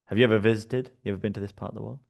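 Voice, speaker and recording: A man from the UK with a British accent, presenting a live software workshop in a relaxed, chatty way, with many fillers and restarts. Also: fairly low pitch, rapid-fire, creaky. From United Kingdom.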